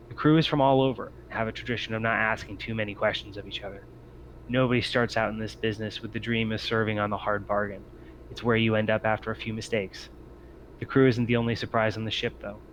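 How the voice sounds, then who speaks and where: A man's voice: fairly low pitch, fairly bright tone. A man acting as game master, speaking to players about a tabletop roleplaying game over a group voice call. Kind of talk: casual explanation and scene narration for a tabletop game. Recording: muffled lo-fi voice chat, clear noise.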